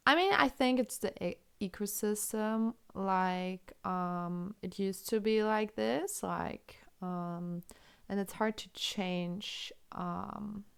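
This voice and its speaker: A woman's voice: medium pitch, slow, gravelly, fairly bright tone, clear, resonant tone. A woman from Germany with a German accent, commentating chess on a live stream, thinking aloud and answering chat questions.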